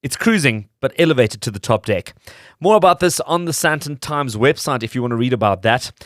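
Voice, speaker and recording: A man's voice: mid-range voice, animated, brisk, a bit bright, no room sound, studio quality. A man hosting a radio show, narrating from a script to his listeners. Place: dry studio.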